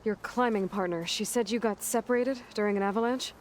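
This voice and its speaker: Resolute young warrior woman voiced by an actress, mid-pitched, direct, light ambient noise behind, game cutscene. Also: fairly quick, natural voice. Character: determined, self-reliant young warrior heroine. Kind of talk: video game character acting.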